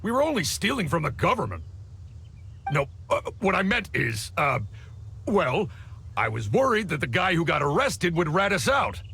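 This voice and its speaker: An actor playing a cocky, crude bandit boss who talks in slang and swaggers, in a game cutscene. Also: male voice, a little high, sing-song, moderate pace, vocal fry, pushed and bright, slight background noise, exaggerated voice. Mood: cornered. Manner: slangy, swaggering, stammers when caught.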